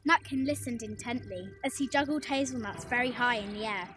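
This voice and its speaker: A woman's voice: fairly high pitch, brisk. A woman reading a scripted children's story expressively, addressing young listeners, with music behind her. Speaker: storybook narrator. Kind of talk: animated children's scripted narration.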